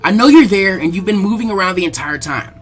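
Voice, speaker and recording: A man voicing a brash, earnest teenage ninja boy who is loud, insistent and pleading in a fan fiction audiobook. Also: high-pitched, lively intonation, fast, soft background music. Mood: defiant.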